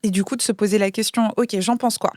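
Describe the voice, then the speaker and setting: A woman's voice: mid-range voice, steady tone, quick pace, darkish tone, dry recording, studio quality. A woman from France, a guest author, talking through her thoughts in a casual podcast chat, with lots of hesitations and restarts. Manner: thoughtful, many fillers and restarts.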